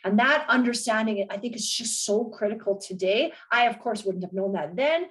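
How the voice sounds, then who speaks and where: A woman in her late forties from Canada, a podcast guest speaking in an energetic, articulate and reflective way. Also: fairly high pitch, moderate pace. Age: 40s. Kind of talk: casual interview chat.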